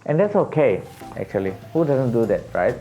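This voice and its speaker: Raspy guy teaching singing, voice leaps up high when he gets excited, laughs a lot, kinda muffled with soft music behind. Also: fairly quick. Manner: animated, jumps to high excited pitch on emphasis, laughs often. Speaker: vocal coach. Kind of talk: casual teaching monologue.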